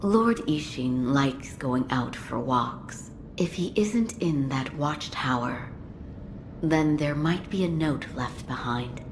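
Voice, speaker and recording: A calm, low-voiced ally. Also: female voice, noisy background. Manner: calm, measured, advising. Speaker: ally giving directions. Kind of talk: video game cutscene acting.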